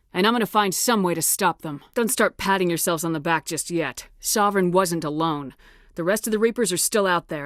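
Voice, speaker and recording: Tough female space commander for a video game, played by an actress: slightly low register, resolute and commanding delivery, very dry recording. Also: no echo, natural voice. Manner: firm, clipped, commanding. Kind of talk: scripted sci-fi video game dialogue.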